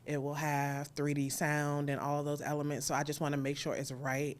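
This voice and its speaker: A woman speaking casually and candidly as an interview guest, informal and quick to laugh. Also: sits low, monotone. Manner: candid, informal, laughs easily while talking. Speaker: interview guest, audio drama creator and sound designer. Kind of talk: casual conversational interview at a live event.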